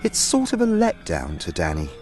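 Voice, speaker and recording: A man's voice: deep voice, wildly swooping pitch, moderate pace. A man narrating a scripted fantasy story. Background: faint music.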